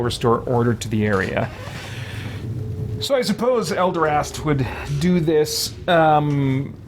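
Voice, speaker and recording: A man hosting a let's play, thinking aloud and reading game text as he goes. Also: mid-range voice.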